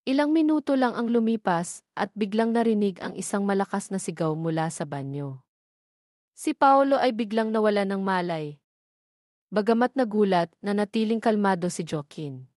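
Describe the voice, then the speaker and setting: A woman with a Filipino accent narrates a scripted romance audio drama. Her voice sits at a medium pitch. The recording is close and dry, with little room sound. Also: animated, normal speed, darkish tone, no echo. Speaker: story narrator.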